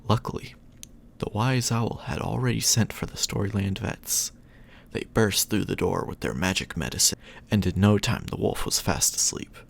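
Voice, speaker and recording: A man's voice: lowish voice, normal speed, creaky. A man doing affectionate ASMR bedtime storytelling as a boyfriend, in a soothing manner.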